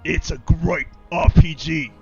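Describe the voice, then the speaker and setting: A man growling as a gravelly boastful orc warlord. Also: on the high side, fairly even intonation, slow pace, highs cut off, over music. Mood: rowdy. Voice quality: gravelly, rough-throated. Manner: rowdy, bellowing, crude slang. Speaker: streamer. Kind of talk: role-playing a game live stream.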